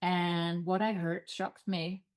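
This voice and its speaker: A woman's voice: on the low side, level tone, slow, clear, resonant tone. A middle-aged woman with an Icelandic accent, a guest telling her story in an earnest way on a podcast interview. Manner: earnest, storytelling, occasional non-native phrasing.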